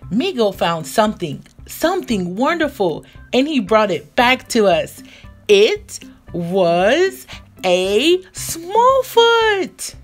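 Slow, very lively woman reading a storybook. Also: fairly high pitch. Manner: expressive, deliberate storytelling. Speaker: children's storybook reader. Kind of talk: animated read-aloud narration for young children. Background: music.

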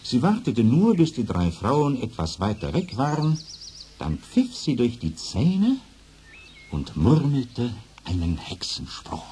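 A man narrating a scripted children's fairy tale as an expressive storyteller. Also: medium pitch, slow, a little hiss or hum.

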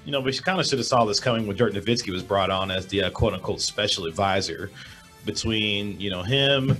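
A middle-aged man with a hoarse voice, a sports reporter giving measured analysis as a guest over a video call. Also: lowish voice, fairly bright tone, soft background music. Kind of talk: remote sports talk interview. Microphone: webcam with desk microphone, video call.

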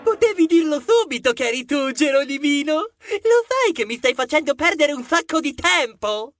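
Woman playing a high-pitched cheeky mouse detective guy. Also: big pitch swings, normal speed, dry recording, studio quality, faint music behind. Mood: scolding. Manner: teasing, exclamatory, scolding with mocking diminutives. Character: pushy, cheeky male mouse detective, bossy and lovestruck. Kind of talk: acted children's audio story dialogue.

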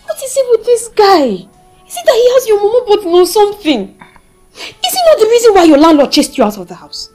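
A woman's voice: sits high, expressive, a little slow, darkish tone, soft background music. An actress playing a loyal, outspoken, protective female friend with a Nigerian accent in a film drama. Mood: exasperated.

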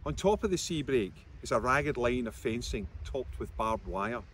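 A man in his fifties reads fiction aloud with a lively, varied pitch. He is out in the open, with some faint noise around him as he narrates. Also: moderate pace. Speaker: author reading from own novel. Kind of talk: narrated book reading. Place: outdoors by a seafront concrete wall. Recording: outdoor recording with background noise.

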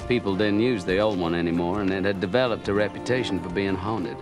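An actor playing a charming young dreamer narrating. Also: male voice, sits low, quick pace, close to an everyday voice. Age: young adult. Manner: wry storytelling narration, earnest in talk. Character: bold, charming young male wanderer. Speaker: Edward Bloom, hero narrating his tall tale. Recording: film soundtrack with music.

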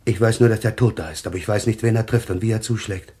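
An actor in a German radio play playing an anxious, troubled man haunted by visions, speaking haltingly with a husky voice. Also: fairly low pitch, fairly even intonation, warm tone. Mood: haunted, confused. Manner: halting, haunted, repeating himself.

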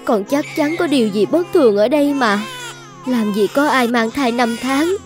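Casting: actress as wronged cartoon maiden, high lamenting voice, young, light music underneath. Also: female voice, normal speed, natural voice. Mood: indignant, earnest. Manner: plaintive, lamenting. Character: wronged, sorrowful young daughter and new mother. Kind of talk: animated fairy-tale dubbing.

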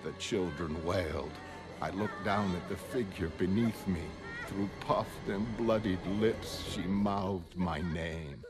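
Dude doing doomed sailor narrator, deep n slow, solemn haunted gothic horror comic, big music behind. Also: male voice. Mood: anguished, grim. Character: doomed shipwrecked male mariner narrating a horror tale. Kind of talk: grim gothic storybook narration in a motion comic. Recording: studio voice over prominent music.

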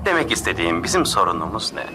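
A man's voice: sits low, sing-song, fast, a crowd in the background. A man voicing a bumbling, scatterbrained space-bound superhero in a dubbed cartoon, speechifying grandly and rambling. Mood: grandiose. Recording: loud music over voice.

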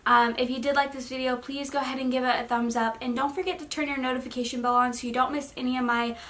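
A woman's voice: sits high, flat delivery, quick pace, a bit bright. A young woman in her twenties chatting casually in a vlog from her bedroom, rambling with many fillers. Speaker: vlogger. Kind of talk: casual pregnancy update vlog. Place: bedroom, seated on bed.

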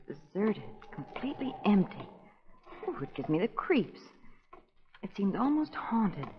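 A woman playing a detective's spirited, teasing companion in lively, theatrical 1930s radio acting. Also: mid-range voice, a little slow. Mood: uneasy. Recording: dull lo-fi 1930s radio recording.

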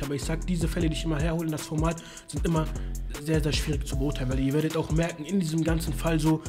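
A young man hosting a casual interview, explaining things in colloquial language. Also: on the high side. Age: young adult. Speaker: host. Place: small indoor studio lounge. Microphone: microphone on boom arm.

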